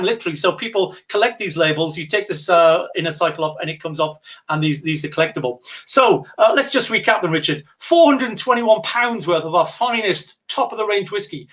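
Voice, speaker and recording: Bright high-voiced chatty man. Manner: chatty, rambling, frequent fillers and restarts. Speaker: whisky brand ambassador presenting deals. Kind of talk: casual live-stream sales chat.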